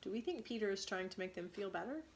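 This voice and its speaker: A woman teacher reading a story aloud expressively to students, pausing to ask them questions. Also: mid-range voice, lively intonation, a little hiss or hum.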